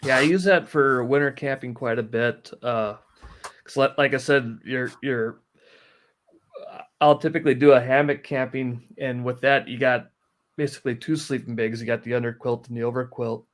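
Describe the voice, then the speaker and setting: A man, explaining things in a practical, low-key way as a guest in a casual interview. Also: steady tone, normal speed. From northern Wisconsin, United States. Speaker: guest, outdoor amateur radio operator. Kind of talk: casual live stream interview.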